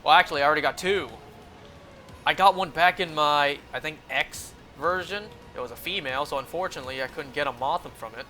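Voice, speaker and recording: A man's voice: a little high, fast talker, sharp tone, faint music behind. A young man with a North American accent chatting casually and in a rambling way while streaming a video game, speaking into a close mic.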